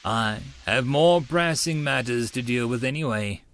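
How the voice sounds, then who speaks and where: A man narrating a visual novel as a first-person audiobook, expressive and given to informal asides. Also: mid-range voice, unhurried.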